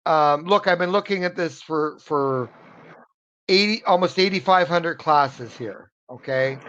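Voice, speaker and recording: A man's voice: on the high side, fairly bright tone. An American man tutoring English in an online lesson, talking earnestly and encouragingly, often repeating words as he searches for the next one. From United States. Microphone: video call. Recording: dull, muffled video call audio.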